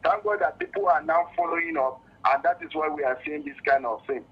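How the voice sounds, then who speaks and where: A man's voice: fairly high pitch, fairly even intonation, brisk, husky. A Nigerian man with a Nigerian accent phoning a radio show to give his opinion, earnest and insistent, often repeating words as he goes. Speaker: radio phone-in caller. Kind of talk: spontaneous opinion on a call-in show. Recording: muffled, lo-fi phone call to radio.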